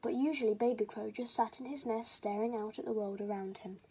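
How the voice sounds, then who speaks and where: A woman's voice: on the high side, brisk, dark tone, a little hiss or hum, low-quality recording. A woman reading a children's story aloud as scripted storybook narration.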